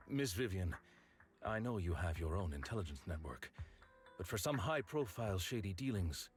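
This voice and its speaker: Male actor as formal game envoy, low-pitched, composed, polite, measured delivery, faint music behind. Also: natural voice. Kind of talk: video game voice acting.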